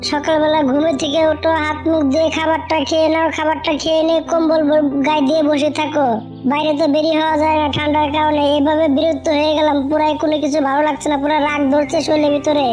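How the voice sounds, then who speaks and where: A woman's voice: high voice, monotone, quick pace, darkish tone, lightly acted. A woman voicing chatty, fretful female birds in an amateur cartoon, with an insistent manner. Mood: bored, irritated. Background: music.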